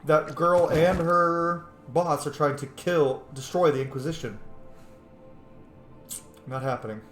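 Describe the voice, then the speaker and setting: A man's voice: fairly high pitch, slow, fairly bright tone. A man hosting a game playthrough with informal, opinionated roleplay commentary. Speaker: gaming host. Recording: roomy echo, game music behind.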